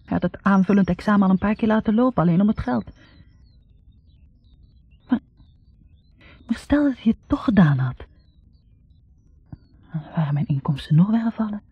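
Young intellectual woman, very breathy husky voice, bitter and conflicted, halting confessions, 1960s radio play, muffled recording. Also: medium pitch, lively intonation, no room sound, soft background music. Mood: conflicted, regretful. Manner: halting, confessional, repeating words. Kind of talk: 1960s radio drama acting.

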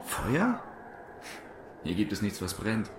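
A man's voice: on the low side, huge pitch swings, takes it slowly, background noise, exaggerated voice. A man playing a cocky, flirtatious programmer in a German radio drama, with a teasing, worldly-wise manner. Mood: casual, cocky. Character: cocky, flirtatious male programmer stranded in a game desert.

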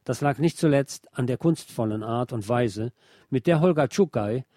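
A man reading a scripted music feature as a radio presenter, in a measured, articulate, literary manner. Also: medium pitch, dark tone, dry recording, studio quality. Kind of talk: scripted narration.

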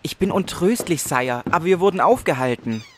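A man's voice: fairly high pitch, lively intonation, a little fast, slight background noise, natural voice. A man playing a formal, wordy and easily indignant adventurer in a fantasy parody radio play. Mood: apologetic. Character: courtly indignant male adventurer, tattling formally.

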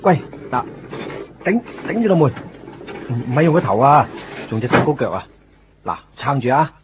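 A man's voice: medium pitch, big pitch swings, moderate pace, background noise, close to an everyday voice. An actor playing a wry, put-upon young man in a Cantonese radio drama, conversational and self-mocking with a Hong Kong accent. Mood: exasperated. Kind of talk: radio drama narration and dialogue. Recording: dull radio recording with music underneath.

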